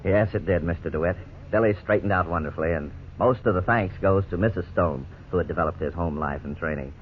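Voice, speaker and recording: Man doing a very deep-voiced legal guy explaining a case, old tinny radio. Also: close to an everyday voice. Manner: measured, explanatory. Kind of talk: 1950s radio drama acting. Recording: tinny old radio broadcast, faint hiss.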